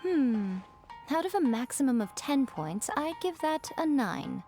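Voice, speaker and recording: A woman voicing a sly, teasing fox-spirit woman who runs a publishing house, talking in a smooth, amused, unhurried way, with faint music behind. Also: high voice, very animated, darkish tone, exaggerated voice. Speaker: editor-in-chief guiding a writer. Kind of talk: video game voice acting. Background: faint game music.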